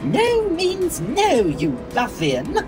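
A man playing a coy, theatrical fake damsel in falsetto in a comic sci-fi audio drama. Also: heavily acted. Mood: mock outrage.

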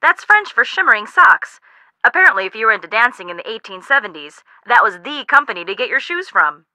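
A woman's voice: on the high side, big pitch swings, a little fast, bright, forceful tone, no room sound, exaggerated voice, rough recording. An American woman playing a blunt, sarcastic young best friend in a video game, with a wry, teasing manner.

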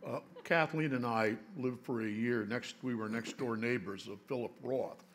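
An older man interviewing an author on a library stage before a seated audience, asking measured, searching questions with small hesitations. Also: medium pitch, a little hiss or hum. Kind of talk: onstage conversation.

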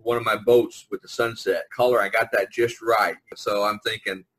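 A middle-aged man hosting a casual group chat over an online call, talking in a rambling, halting way and repeating himself. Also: fairly low pitch, little pitch movement, a bit bright, husky, poor audio. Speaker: podcast host. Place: online video conference call.

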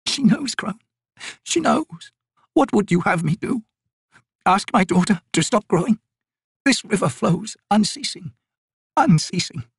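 Brief: ancient wisewoman, grieving and bitter, ragged high voice, breathy, dry booth. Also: animated, a little slow, long pauses, no room sound, full character voice. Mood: despairing. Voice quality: cracked, ragged.

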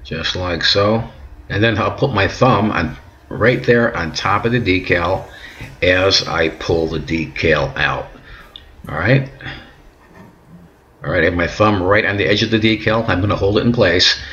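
A middle-aged man giving calm, patient step-by-step instructions at home, often repeating words and checking in with "okay". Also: low voice, measured pace, highs cut off. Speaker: instructor demonstrating decal application. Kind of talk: step-by-step how-to narration. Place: home kitchen and workbench indoors.

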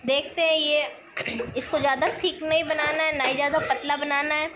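Woman, high voice, sore throat, chatty home cook explaining steps; noisy kitchen phone recording. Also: steady tone, moderate pace. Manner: chatty, explains each step while stirring. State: sore throat, clearing it often. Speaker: cooking vlogger. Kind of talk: casual cooking demonstration. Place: home kitchen at a gas stove.

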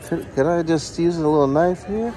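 A man's voice: a little high, moderate pace, mellow. A man who is a YouTuber, filming himself and chatting casually and enthusiastically at an outdoor market, often saying "wow". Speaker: YouTuber host. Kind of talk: casual vlog chat. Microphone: handheld camera he is filming with. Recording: outdoor crowd noise behind. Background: crowd and passing vehicles.

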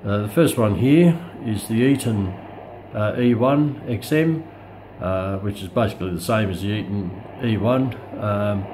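An Australian man talks slowly and haltingly through a casual hands-on demonstration, thinking aloud as he goes. His voice is on the low side. Loud radio hiss and static run behind him on a phone recording made indoors. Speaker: radio hobbyist presenting a video test. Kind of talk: casual unscripted product demonstration. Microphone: phone camera held back from speaker. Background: shortwave radio noise.

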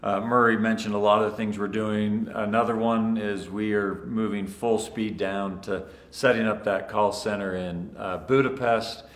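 A middle-aged man, a company executive, speaks upbeat and congratulatory to sales leaders while standing before a camera in a bright office. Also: fairly low pitch, steady tone, moderate pace, few pauses. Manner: upbeat and congratulatory, some fillers. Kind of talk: scripted-free video address to sales leaders. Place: large bright office with tall windows, indoors. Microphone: standing before a fixed camera, two-shot. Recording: echoey room.

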